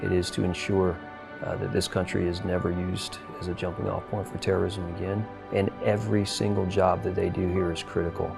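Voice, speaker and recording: Deep, gravelly middle-aged military man. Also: flat delivery, fairly quick, music in the background. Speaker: air force wing commander and fighter pilot. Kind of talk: documentary interview.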